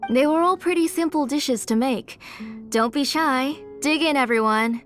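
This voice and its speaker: A woman voicing a gentle, caring mother in a video game, speaking in a measured, tender way. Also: high voice, lightly acted. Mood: kind, welcoming. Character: gentle, caring, sorrowful mother of a sick child. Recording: music playing behind.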